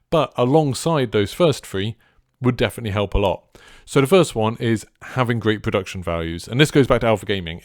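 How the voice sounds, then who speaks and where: Casting: man, deep clear voice, easygoing delivery, casual creator advice, very dry sound. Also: dry recording. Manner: chilled, laid back. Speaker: streamer and content creator giving advice. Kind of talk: casual advice talk to viewers.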